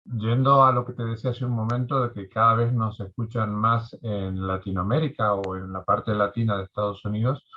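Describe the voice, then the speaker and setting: A man hosting a conversational interview podcast over an online call, speaking courteously with deliberate pauses. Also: medium pitch, highs cut off. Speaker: podcast host. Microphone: remote online call.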